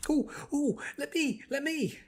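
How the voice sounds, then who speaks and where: A man voicing a bubbly, excitable young female party pony with giddy, eager exclamations. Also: very high-pitched, measured pace, voice pushed up.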